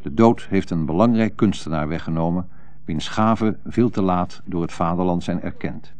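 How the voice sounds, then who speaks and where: An actor playing a solemn radio newsreader, delivering a special bulletin in a formal, measured manner within a radio drama. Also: male voice, deep voice, a little mellow, close to an everyday voice.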